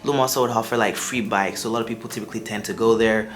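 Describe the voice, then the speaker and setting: A man's voice: fairly low pitch, fairly even intonation, racing, music in the background. A young man from Nigeria, speaking with a Nigerian accent that drifts toward American, gives chatty, upbeat travel advice to camera as a vlogger. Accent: Nigerian, shifting toward American. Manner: chatty and upbeat, with asides and restarts.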